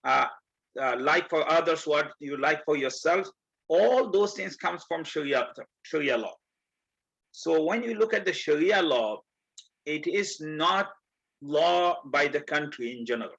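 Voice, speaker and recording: A man from Bangladesh giving a community presentation, explaining step by step and earnestly, with many pauses. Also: a little high, expressive, gravelly, fairly bright tone. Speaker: guest speaker. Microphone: video call. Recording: muffled video call audio.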